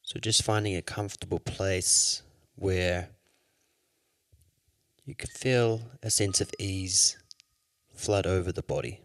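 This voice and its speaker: A man leading a guided yoga nidra meditation as an instructor, with soothing, measured instructions. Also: low-pitched, dry recording.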